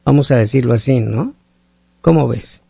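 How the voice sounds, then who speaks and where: A man's voice: medium pitch, moderate pace, gravelly, dark tone, slightly rough, dry recording. A man hosting a radio call-in show, chatting casually in a folksy, talkative way and often asking rhetorical questions. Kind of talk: casual opinionated on-air chat with callers.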